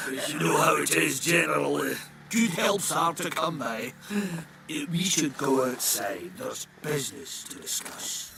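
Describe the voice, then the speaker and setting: An actor playing an obsequious, folksy dwarf blacksmith in a video game, eager to please important customers. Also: male voice, a little high, animated, measured pace, vocal fry, a crowd in the background. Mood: uneasy. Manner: servile, folksy, sighing. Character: fawning, obsequious dwarf blacksmith, grumbling in private. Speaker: blacksmith shopkeeper. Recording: game dialogue with music behind.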